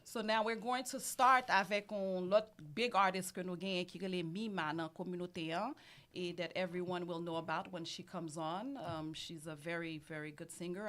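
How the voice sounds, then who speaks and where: A woman's voice: on the low side, a bit bright. A woman hosting a talk show who casually mixes French phrases into her English.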